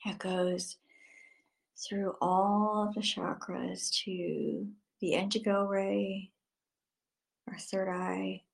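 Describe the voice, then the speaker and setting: A woman leading a guided meditation, giving soothing spoken instructions in short phrases that sometimes drop to a hush. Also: medium pitch, level tone, very slow, creaky, poor audio.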